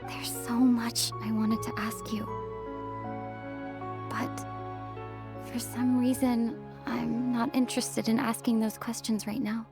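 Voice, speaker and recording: A young fantasy game heroine, earnest and yearning. Her voice is high and tender, with slow delivery. Clear music plays underneath. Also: flat delivery. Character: earnest young heroine, yearning long-lost twin sister. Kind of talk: scripted game voice acting. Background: background music.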